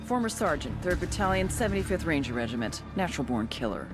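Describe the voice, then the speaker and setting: A woman's voice: sits low, very animated, quick pace, music in the background. An actress playing a hard, cynical intelligence agent in a video game, blunt and controlled as she briefs and questions. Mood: matter-of-fact briefing. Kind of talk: scripted game dialogue and briefing narration.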